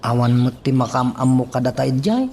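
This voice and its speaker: A man's voice: medium pitch, lively intonation, fairly quick, a little mellow, a little hiss or hum, lightly acted. A man with an Ilocano accent playing a puzzled, questioning character in a radio drama.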